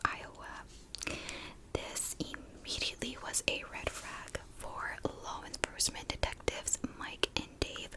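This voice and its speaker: A woman's voice: high-pitched, gravelly, rough recording. A woman telling true crime stories as an ASMR host, in a chatty, informal way with casual asides to the listener.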